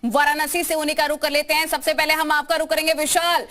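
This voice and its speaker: Very high-pitched woman news anchor. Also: little pitch movement, fast talker, pushed and bright. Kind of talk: live news presenting.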